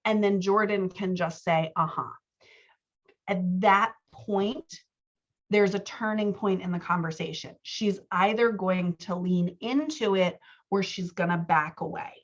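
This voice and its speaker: A woman's voice: medium pitch, a little slow, dull audio. A woman giving informal one-on-one writing feedback as a coach, explaining her edits and acting out example lines.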